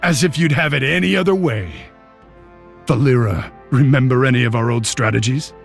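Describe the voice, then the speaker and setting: A man voicing a gravelly warrior king. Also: medium pitch, normal speed, faint music behind, lightly acted. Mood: gruff camaraderie. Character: gruff, battle-hardened human warrior king. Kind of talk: video game character voice lines.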